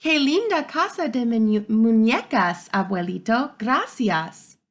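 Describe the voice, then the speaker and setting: A woman's voice: a little high, lively intonation, measured pace, a little hiss or hum. A woman voicing an affectionate, grateful young girl from a picture book, exclaiming with delight.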